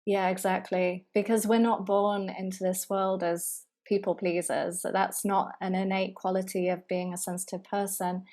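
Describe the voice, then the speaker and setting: A woman speaking as a guest expert in a calm, steady conversation, affirming what others say. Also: medium pitch, moderate pace, hardly any pauses. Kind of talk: casual conversation.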